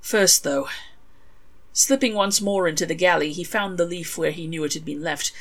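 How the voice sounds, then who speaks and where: Woman reading a story, slightly low voice. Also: moderate pace, a bit bright. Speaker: author reading own fan fiction. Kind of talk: scripted story narration.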